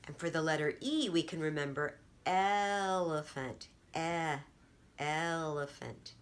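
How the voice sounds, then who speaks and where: A woman storyteller and teacher reading aloud and explaining for children, with deliberate, emphatic phrasing. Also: low voice, smooth. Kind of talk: children's read-aloud and simple lesson.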